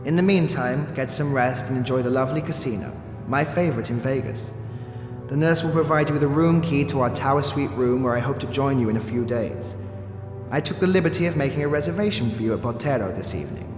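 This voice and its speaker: A man acts a worldly older mentor voicing a letter in an audio drama. He is fatherly, genteel and measured, tender with regret, his voice echoing in a long reverb over music. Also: medium pitch, natural voice. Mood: fond, indulgent.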